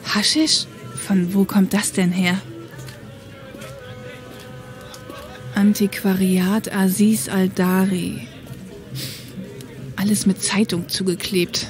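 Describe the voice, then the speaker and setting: A woman's voice: medium pitch, little pitch movement, takes it slowly, faint music behind, natural voice. A woman playing a modest, down-to-earth young policewoman in a German fan audio drama, a little awkward in manner. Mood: curious.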